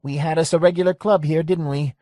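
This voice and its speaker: A man's voice: on the high side, steady tone, a little fast, mellow, dry recording, voice pushed up, natural voice. A folksy, wary hangout owner , voiced by a man reading an audiobook, talks in a voice pushed well above the reader's own. The recording is close and dry. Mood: wistful.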